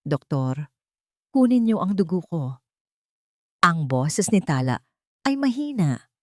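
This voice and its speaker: A woman's voice: lowish voice, a little mellow, dry recording, clean studio sound. A woman narrating a scripted drama story in a solemn, melodramatic storytelling manner.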